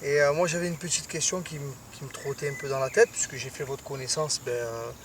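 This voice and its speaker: A man's voice: mid-range voice, steady tone, quick pace. A man interviewing anglers outdoors, chatty and friendly in his questions. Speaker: interviewer at fishing event. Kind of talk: casual interview. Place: outdoors by a lake. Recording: distant mic, loud nature noise. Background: crickets and rural ambience.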